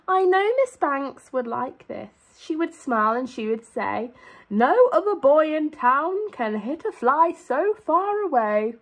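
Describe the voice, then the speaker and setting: A young woman reads a children's story aloud in a high voice. Her pitch moves in big, lively swoops. She speaks like a primary teacher, stressing and lengthening key words so that young listeners can follow. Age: young adult. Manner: expressive, drawn-out emphasis on key words.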